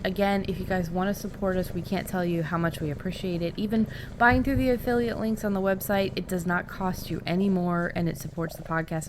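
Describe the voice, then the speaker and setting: A woman's voice: lowish voice, background noise. A woman hosting a podcast in a casual, informal, rambling chat style. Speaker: podcast host.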